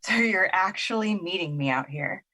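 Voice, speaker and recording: A woman's voice: fairly low pitch, animated, normal speed, sharp tone, low-quality recording. A wounded, skeptical young woman. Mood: exasperated. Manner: plain-spoken, bitter, exasperated. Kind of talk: audio drama acting.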